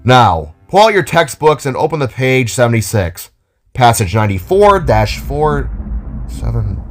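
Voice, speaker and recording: A man's voice: medium pitch, big pitch swings, unhurried, faint music behind. A man voicing an older, kindly and enthusiastic robot teacher with a theatrical, explanatory way of talking. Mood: instructive. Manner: showy, explanatory.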